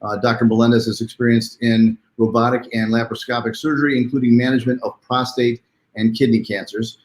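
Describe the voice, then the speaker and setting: Male interview host, welcoming and conversational, mid-pitched voice, roomy echoing sound. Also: flat delivery, brisk. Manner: chatty, welcoming. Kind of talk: live online interview, conversational.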